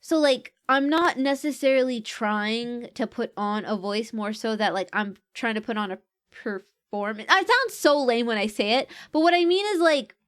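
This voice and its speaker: A chatty woman talking casually on a stream, with a somewhat high voice. She rambles with many fillers and restarts. Her pitch rises and falls freely with what she is feeling. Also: moderate pace, a bit bright, clean tone. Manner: pitch swings widely with mood.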